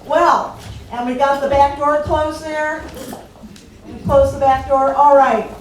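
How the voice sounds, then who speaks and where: A woman's voice: sits high, flat delivery, slow. An American woman from Illinois hosting a live event, welcoming and introducing the show to an audience. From Illinois, United States. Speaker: host. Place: echoey event hall with seated audience. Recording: echoey hall, distant mic, faint noise.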